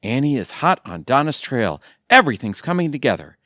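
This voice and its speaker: A man acts out an exasperated, impulsive middle-aged husband in a comic radio play. His medium-pitched voice swings widely and theatrically. The sound is dry and close, without room echo. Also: very animated, brisk, fairly bright tone. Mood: wry, dramatic. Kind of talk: audio theatre comedy acting.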